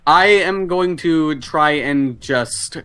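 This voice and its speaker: A man's voice: fairly high pitch, takes it slowly, a bit bright, clear, resonant tone, no echo. A man chatting casually as a player in a tabletop role-playing game, explaining what his character does. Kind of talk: casual gaming chat.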